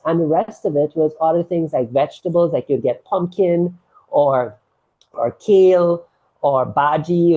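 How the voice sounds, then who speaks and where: A man's voice: fairly high pitch, narrow, tinny audio. A man from Guyana with a Guyanese accent, a doctor explaining diet in a casual health talk and often checking in with "right?". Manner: explanatory, tags statements with "right?". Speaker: sports medicine doctor, guest.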